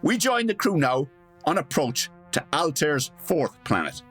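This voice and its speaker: A man's voice: a little high, big pitch swings, unhurried, a bit bright, breathy edge, faint music behind, full character voice. A man playing a chatty, wry old-time radio announcer, theatrical and pompous, given to tongue-in-cheek asides. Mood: mock-solemn, wry. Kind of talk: theatrical scripted radio narration with comic asides.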